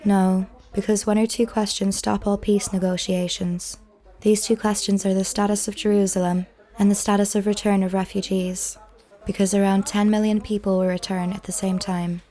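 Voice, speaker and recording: Woman, voice-over reading, monotone, mellow dark tone, measured. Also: medium pitch.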